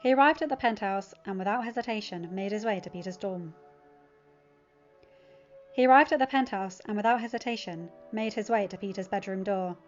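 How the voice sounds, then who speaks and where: A woman's voice: medium pitch, a little fast. Female audiobook reader for fan fiction, slightly muffled recording with music underneath. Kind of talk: scripted story narration, audiobook reading. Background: background music.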